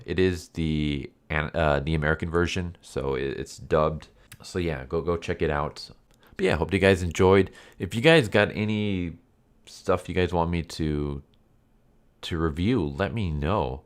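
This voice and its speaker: A man's voice: rumbling low, measured pace. A man with a stuffed-up, nasal voice from a head cold, giving a casual, rambling, unscripted review to his viewers. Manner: rambling, repeats and rephrases himself. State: sick with a stuffed nose and cough. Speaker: YouTube anime movie reviewer and channel host.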